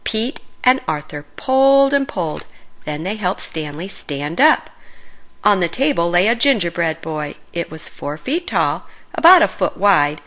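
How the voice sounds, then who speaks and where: A woman reading a children's story aloud in an expressive, animated way, with deliberate phrasing for young listeners. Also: lowish voice. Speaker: storybook reader.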